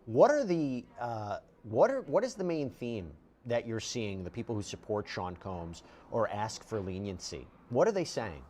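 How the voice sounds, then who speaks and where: A man's voice: fairly low pitch, very animated, normal speed, hardly any pauses, a little mellow. A man hosting a legal news panel, asking a reporter direct questions.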